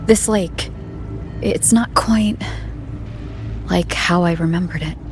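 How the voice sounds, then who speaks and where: A woman's voice: lowish voice, slow pace, faint music behind. A woman playing an earnest, troubled traveller in a fantasy game, speaking seriously and a little hesitantly. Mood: uneasy. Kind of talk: dramatic fantasy game acting.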